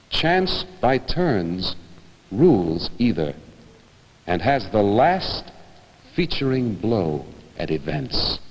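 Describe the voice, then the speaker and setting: A man giving a theatrical, declamatory reading of literary prose in a deliberate manner. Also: lowish voice. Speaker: reader. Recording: long hall reverb, music behind.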